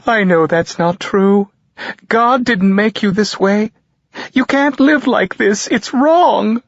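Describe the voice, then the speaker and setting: A man voicing a middle-aged mother on the phone, crying and pleading in a choked, high voice, in an audiobook. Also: slow pace, dry recording. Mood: tearful pleading. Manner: pleading, insistent. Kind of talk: audiobook character voice. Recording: dull lo-fi audio.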